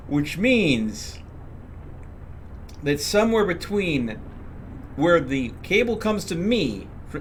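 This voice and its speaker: Higher-pitched man streaming, noisy background. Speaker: live streamer. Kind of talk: casual gaming stream commentary. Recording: clear background noise.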